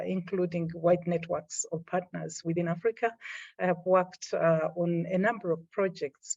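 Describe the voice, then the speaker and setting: Ugandan woman panelist, gravelly, hesitant, muffled dry call line. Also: fairly low pitch, mellow, no echo. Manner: hesitant, many fillers. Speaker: panelist, public health dean. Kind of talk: remote panel introduction. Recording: dull lo-fi call audio, dry.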